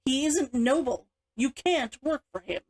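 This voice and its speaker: A woman's voice: high-pitched, a little slow, a little mellow. A woman reading the part of a stern, domineering older sister in a storybook, cold and clipped. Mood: stern, angry.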